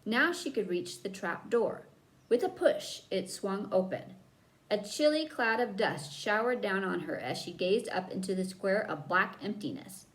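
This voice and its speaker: A woman reading a children's book aloud as a storyteller, with dramatic emphasis and drawn-out key words. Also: medium pitch, animated, fairly bright tone, rough recording. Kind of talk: expressive read-aloud narration for children.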